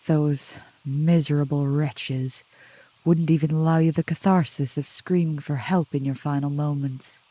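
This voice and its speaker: A woman playing a seductive, protective demon in a soft ASMR roleplay, speaking slowly and coaxingly in a low, gentle voice. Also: fairly even intonation. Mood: soothing, tender. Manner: slow, deliberate, coaxing, with pet names. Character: seductive, protective female demon, soothing yet scornful of cultists. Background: rain.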